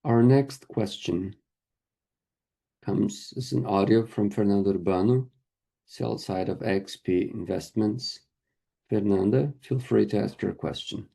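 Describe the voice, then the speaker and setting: A man interpreting a corporate earnings call live, speaking haltingly in short phrases. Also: lowish voice, creaky, mellow, slightly rough. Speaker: conference interpreter.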